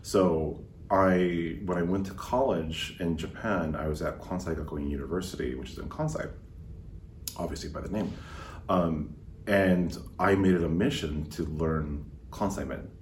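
American guy on a podcast, really low voice, kinda far from the mic in an echoey room, chatty with lots of ums. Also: measured pace, slight background noise. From United States. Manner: relaxed storytelling with fillers. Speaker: podcast guest. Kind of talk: casual conversation.

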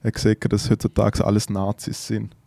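Male podcast co-host, Swiss German accent, lower-pitched, conversational, dry recording. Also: completely flat delivery, brisk, vocal fry, mellow, dry recording. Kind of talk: casual chat.

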